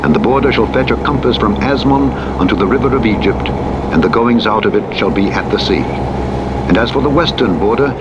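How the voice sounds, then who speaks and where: A man narrating the Bible in a measured, solemn recitation, reading from a script. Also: fairly low pitch, animated. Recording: muffled playback under loud vehicle engine noise. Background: loud truck simulator engine drone.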